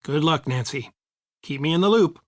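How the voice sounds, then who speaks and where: A man's voice: a little high, lively intonation, moderate pace, close to an everyday voice. An appreciative father of middle age, played by a male actor. He talks as a man on a phone call in an adventure game, in scripted dialogue.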